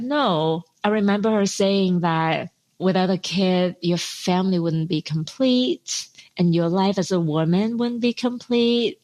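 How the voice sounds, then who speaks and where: A woman's voice: fairly low pitch, a little mellow. A woman in her forties from Hong Kong, a podcast guest telling her own story in a reflective way and laughing often. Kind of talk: personal interview storytelling.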